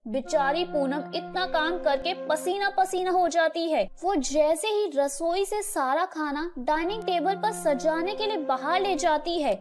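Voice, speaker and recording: A woman's voice: extremely high pitch, measured pace, few pauses. A woman narrating a scripted children's storybook tale. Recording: music playing behind the voice.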